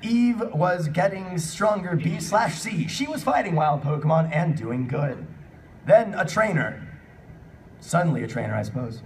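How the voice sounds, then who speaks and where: A man's voice: on the high side, expressive, measured pace, recorded from a distance. A man hosting a comic live reading of bad fan fiction, mock-serious and sarcastic, in a noisy convention room. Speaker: panel host and reader.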